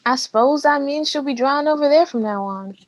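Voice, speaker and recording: A woman's voice: high-pitched, fairly quick, dry recording, natural voice. Woman as an American villager, dull lo-fi sound. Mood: dry, folksy. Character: dry, folksy small-town village woman. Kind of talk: amateur stage-play acting. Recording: dull, lo-fi video call audio.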